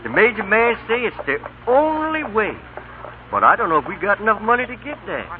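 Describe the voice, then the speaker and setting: A man's voice: sits high, sing-song, brisk. A man playing a humble, anxious servant with an American Southern accent, folksy and deferential, in an old-time radio drama. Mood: agitated. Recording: noisy, tinny old radio broadcast recording.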